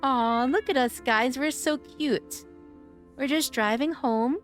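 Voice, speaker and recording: A woman livestreaming a game, chatting playfully with her viewers, her voice a bit hoarse from days of streaming. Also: high voice, slow, soft background music. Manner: playful, chatty, greeting chat by name. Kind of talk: casual game-stream chat.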